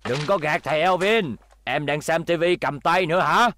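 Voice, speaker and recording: A man's voice: on the high side, normal speed, a bit bright, slight background noise, natural voice. A man voicing an older male teacher, scolding but caring, in a dubbed children's cartoon. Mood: stern, scolding.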